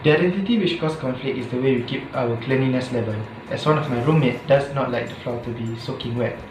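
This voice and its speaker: A young male student reads a prepared reflection in a steady, earnest voice at a middle pitch. His voice rings in a roomy space, and music plays underneath. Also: fast. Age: young adult. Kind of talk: scripted reflection read aloud. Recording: roomy echo with background music.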